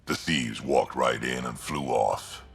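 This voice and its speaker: Very deep, gravelly old alien warrior. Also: male voice, slow pace, fairly bright tone, soft background music, exaggerated voice. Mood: grave, urgent. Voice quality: very deep, gravelly, raspy growl. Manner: gruff, blunt. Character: gruff old male krogan alien warrior.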